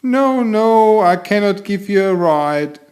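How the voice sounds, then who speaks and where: A man's voice: expressive, slow pace, a little mellow. A kindly mother kangaroo from a children's storybook , voiced by a man. She speaks in a raised, lilting voice, eager and exclaiming. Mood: apologetic. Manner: high-pitched exclaiming, eager. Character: kindly, excitable female kangaroo, a new mother.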